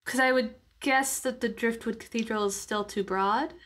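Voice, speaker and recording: A woman's voice: a little high, fairly even intonation, moderate pace, a bit bright. A woman playing a tabletop roleplaying game, casually describing her character's actions in a thoughtful, hesitant way. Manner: thoughtful, hesitant, searching for words.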